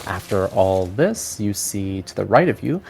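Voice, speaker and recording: A man's voice: fairly low pitch, very animated, measured pace, vocal fry, darkish tone, a little hiss or hum. A man narrating a tabletop fantasy game as its game master. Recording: music playing behind. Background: background music.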